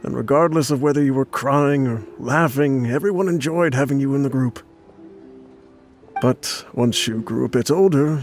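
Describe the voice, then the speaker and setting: A man's voice: mid-range voice, a little mellow, natural voice. A man playing a boastful, roguish older father in a video game monologue, rambling, teasing and wistful. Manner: chuckling, rambling storyteller.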